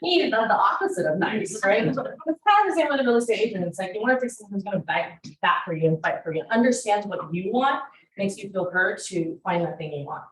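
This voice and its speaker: A woman teaching a real estate class, chatty and energetic, with many fillers. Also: mid-range voice, fast. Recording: roomy classroom echo.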